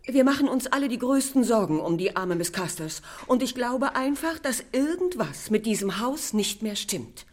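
A woman's voice: fairly high pitch, expressive, normal speed, hardly any pauses. A woman playing a proud, defensive household cook in a Victorian radio crime drama, emphatic and self-righteous. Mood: uneasy, defensive.

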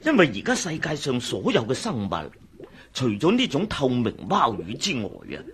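A man with a Hong Kong Cantonese accent playing a chatty, excitable doctor who explains things eagerly in a radio drama. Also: mid-range voice, moderate pace, slightly rough, heavily acted. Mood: pondering, earnest. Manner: chuckling, explanatory, animated. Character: male doctor, chatty, excitable, fond of explaining his pet fish. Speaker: voice actor.

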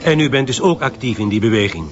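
A slightly lo-fi radio drama recording. A male actor plays a courteous Flemish inspector with a dry, doubting edge. His pitch is ordinary for a man and his delivery is measured and natural. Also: lively intonation, natural voice. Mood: dry, sceptical. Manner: polite, dryly sceptical. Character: measured, sceptical male police inspector, the commissioner's sidekick. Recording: slightly dull lo-fi audio.

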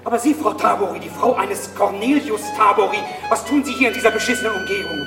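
Radio drama: shrill-pitched indignant man, wildly varied intonation, roomy distant sound, music behind. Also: fast. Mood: outraged. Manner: excitable, insistent, repeating himself. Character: agitated, indignant, bossy man urging a lady to protest. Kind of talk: radio play acting.

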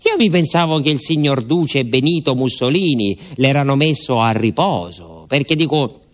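A man's voice: medium pitch, expressive, normal speed, natural voice. An actor playing a garrulous village postman who recounts his youth in a southern Italian accent, with folksy asides, as a theatrical radio monologue. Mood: wry, chatty. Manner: colloquial, folksy asides and repeated interjections.

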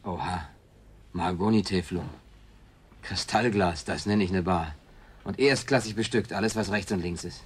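A man's voice: sits low, normal speed, pauses often, a little hiss or hum, close to an everyday voice. An actor playing a wry, wary man with a mock-courteous, bartender-like manner, in natural German radio play dialogue. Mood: curious, impressed.

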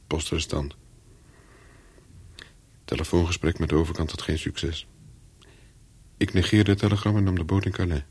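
Low, husky brooding ex-spy. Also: male voice, darkish tone, heavily acted. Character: brooding male former secret agent, haunted by memories. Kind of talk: radio play acting with first-person narration.

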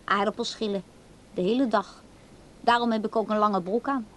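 An actress playing a naive, blunt, skittish kitchen worker in a radio play, blurting things out artlessly and defensively. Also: female voice, medium pitch, steady tone, normal speed, natural voice.

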